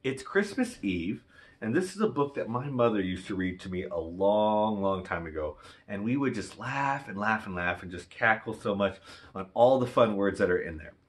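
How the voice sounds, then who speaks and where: A man talking casually and gently as he introduces and comments on a storybook he is reading aloud. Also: fairly low pitch, expressive, normal speed. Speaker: storybook reader.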